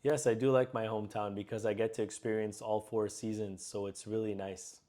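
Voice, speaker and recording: A young man, answering a mock speaking test in measured, slightly hesitant replies. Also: fairly low pitch, little pitch movement, mellow. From Spain, raised in Canada. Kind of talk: answering interview questions.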